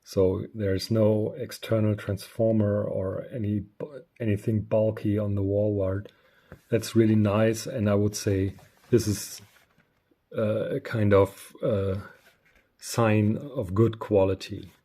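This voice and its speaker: A man giving a casual, unscripted product review, speaking haltingly with frequent pauses. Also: on the low side, steady tone, dark tone. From Germany. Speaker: radio reviewer.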